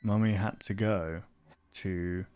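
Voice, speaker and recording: Low-voiced young man, casual reactions. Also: fairly even intonation, takes it slowly, a little mellow, faint music behind. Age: 20s. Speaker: reaction video host. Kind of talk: casual reaction commentary, reading on-screen text aloud. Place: small room at home, indoors. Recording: clear background noise.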